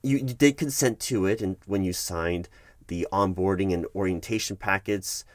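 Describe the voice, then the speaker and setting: A man's voice: low voice, moderate pace, no room sound, clean studio sound, lightly acted. A man playing a politely evasive, officious HR manager in an ASMR office roleplay, stammering through formal, bureaucratic wording. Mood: officious, defensive. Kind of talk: ASMR roleplay, one-sided office meeting.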